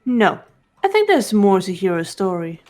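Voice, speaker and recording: A woman voicing a calm young investigator who reasons through a case in a measured way. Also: medium pitch, lightly acted. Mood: cool. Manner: measured, logical.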